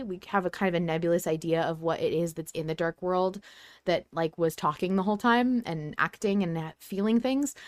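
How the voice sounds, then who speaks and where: A woman's voice: medium pitch, no echo, studio quality. A woman hosting a podcast, talking casually and speculatively as she thinks aloud and hedges her points. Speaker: podcast host.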